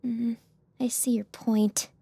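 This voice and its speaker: A curious, hopeful girl in an audio drama. Her voice is quite high and informal, in a dry, close recording. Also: little pitch movement, slow, creaky, warm tone, husky, no room sound, full character voice. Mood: casual. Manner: informal, questioning.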